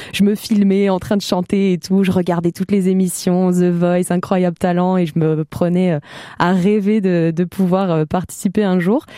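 Relaxed, lowish young woman. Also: no room sound, studio quality. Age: young adult. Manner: informal, slangy, candid. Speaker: singer-songwriter guest. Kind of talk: casual personal storytelling in an interview.

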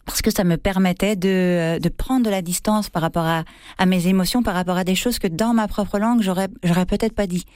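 A woman's voice: lowish voice, steady tone, a little fast, a little mellow. A middle-aged woman from France, an actress, speaks in a radio interview. She searches for her words, filling gaps with hesitations and repeated starts. The studio sound is dry and close, with no room echo. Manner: hesitant, many fillers and restarts. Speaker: actress and theatre co-director.

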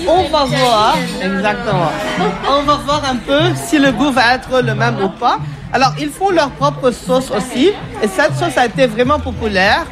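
Woman, food tour guide, French not her first language, quizzing, upbeat, somewhat high voice, music in the background. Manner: lively, quizzes the listener, keeps saying "exactement". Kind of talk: explaining to a radio reporter on a tour. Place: pizzeria restaurant indoors.

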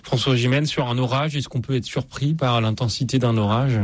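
A male radio host talks quickly and briskly, steering his guests and callers along. He speaks from a radio studio. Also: medium pitch, steady tone. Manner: brisk, interjecting, steers the talk. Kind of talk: radio talk show hosting.

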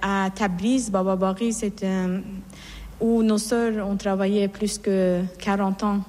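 A middle-aged nun from Iran, reverberant hall. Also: female voice, medium pitch, little pitch movement, slow pace, a little mellow. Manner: earnest, reflective storytelling with restarts. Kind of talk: personal testimony in an interview.

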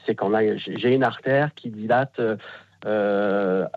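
Man from southern france, radio caller, halting, hesitant, lowish voice, tinny phone line. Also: no room sound. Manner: halting, hesitant, many fillers and restarts. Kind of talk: phone-in conversation. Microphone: telephone.

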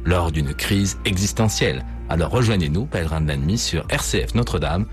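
A man reading a scripted radio promo in a measured announcer style. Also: low-pitched, lively intonation, soft background music.

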